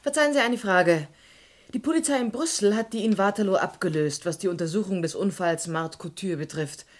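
Actress as inquisitive young woman sleuth for radio crime play: mid-pitched, composed, direct, self-possessed. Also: brisk, lightly acted. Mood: composed, defiant. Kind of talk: German radio crime drama acting.

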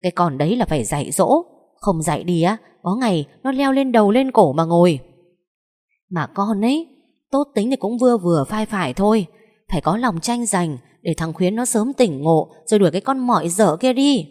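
A woman's voice: mid-range voice, lively intonation, moderate pace, a little mellow, long reverb tail, lightly acted. A woman voicing a spiteful older mother-in-law in a storybook, screeching and scolding. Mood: shrill scolding.

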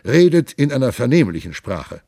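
A man's voice: mid-range voice, very animated, moderate pace, creaky, dark tone, no room sound, clean studio sound, voice pushed up. Male reader doing a commanding giant prince, raised and emphatic, stately archaic speech. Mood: hearty, commanding. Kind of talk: audiobook reading.

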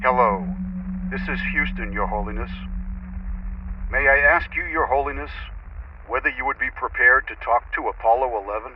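A man's voice: medium pitch, normal speed, pauses often. Nasa control guy, formal and polite, muffled far-off radio with some hiss. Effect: radio transmission. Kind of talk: radio play acting. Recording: dull, distant, noisy radio transmission.

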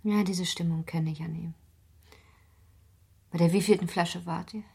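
A girlish yet guarded young wife in a radio drama. Her voice is low and very husky, with a breathy edge on her words. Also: steady tone, moderate pace, a little mellow, heavily acted. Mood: cool, dismissive. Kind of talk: German radio play acting.

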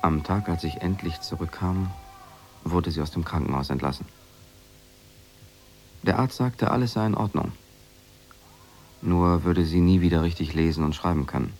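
An actor playing a brooding, quietly guilty expatriate husband, narrating a radio drama in a measured, reflective, subdued way with a gravelly voice. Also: male voice, low-pitched, soft background music.

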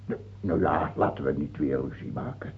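A man's voice: a bass voice, moderate pace, vocal fry, a little hiss or hum. An actor playing a scheming, imperious aging French king in a radio play, theatrical, moving between murmured musing and scornful outbursts. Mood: grudging. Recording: dull, muffled old radio recording.

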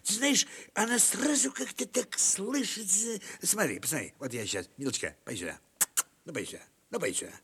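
An actor playing a tipsy, boastful middle-aged army lieutenant in a theatrical radio play, coaxing, rambling and repeating himself emphatically. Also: male voice, sits high, animated, fairly quick, pushed and bright, slightly rough, full character voice. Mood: doting, coaxing.

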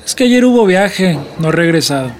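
A man's voice: high-pitched, sing-song, fairly quick, soft background music. A man with a Mexican accent acting in a radio play as a cocky, shady border smuggler, brusque and slangy. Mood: bossy, sly.

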